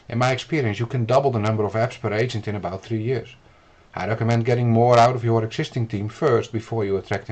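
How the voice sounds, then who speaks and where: A man from the Netherlands with a Dutch accent, explaining steps to the viewer in an earnest, measured instructional video. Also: mid-range voice, darkish tone. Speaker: business consultant.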